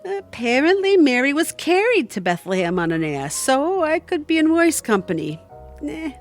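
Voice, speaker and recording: Casting: woman as wisecracking, forgiving pal, higher pitch, very lively intonation. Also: normal speed, soft background music. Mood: droll. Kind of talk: audio theatre comedy-drama acting.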